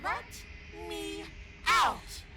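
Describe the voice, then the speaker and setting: A woman's voice: very high-pitched, background noise, full character voice. A woman playing a trapped, menacing princess in a horror game, desperate and eerie, drawing out her words. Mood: desperate, demanding. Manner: drawn-out, eerie, deliberate. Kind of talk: dramatic horror game acting.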